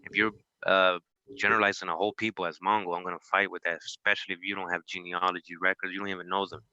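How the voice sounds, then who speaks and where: A man from Costa Rica with a low, sharply bright voice. He talks casually and informally, peppering his points with "you know." The recording is close and dry. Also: level tone, fast, no echo, muffled recording. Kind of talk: casual discussion chat.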